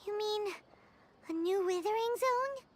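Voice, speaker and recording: A woman voicing a tiny, inquisitive fairy companion in a video game, with a squeaky childlike voice. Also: a little slow, very noisy. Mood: curious, puzzled. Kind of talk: cartoonish video game voice acting. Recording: loud game music behind.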